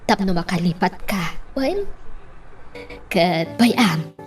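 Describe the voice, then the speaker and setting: A woman's voice: fairly low pitch, sing-song, slow pace, soft background music. An actress playing a doting older woman who coaxes and advises a young man in an Ilocano radio drama. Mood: coaxing.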